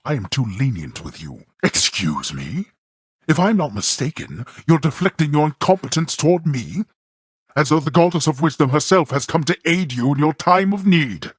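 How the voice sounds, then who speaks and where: A male actor voicing a stern, scornful god of the underworld in a video game, with a husky voice and formal, disdainful, imperious delivery. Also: on the high side, expressive, normal speed, dry recording, clean studio sound. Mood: scolding, contemptuous. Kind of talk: theatrical video game character lines.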